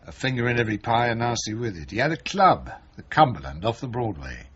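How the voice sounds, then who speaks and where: An actor playing a blunt, seasoned British chief inspector in a radio drama, terse and world-weary, with a rough-edged voice. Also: male voice, mid-range voice, sing-song, quick pace, a bit bright. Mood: grimly reminiscing. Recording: dull, band-limited radio broadcast.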